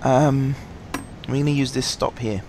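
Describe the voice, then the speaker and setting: A man with a sore throat, slightly husky, casually commenting on a game through a headset, rambling and apologetic with many ums. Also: medium pitch, steady tone, measured pace, background noise. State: sore throat, fighting coughs. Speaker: gaming channel host. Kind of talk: casual let's-play commentary.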